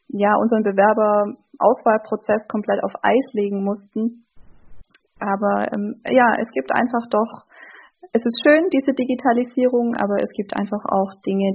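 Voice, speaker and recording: A woman who works as an HR recruiter, speaking in measured, fluent sentences as a radio guest. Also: medium pitch, breathy edge. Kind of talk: radio interview. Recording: dull lo-fi radio sound.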